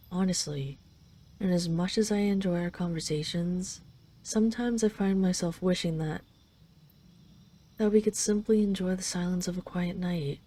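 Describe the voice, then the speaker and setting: A woman's voice: on the low side, warm tone, a little hiss or hum, lightly acted. A woman voicing a possessive yet tender dragon queen in an intimate roleplay audio, teasing and coaxing the listener. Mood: teasing, affectionate.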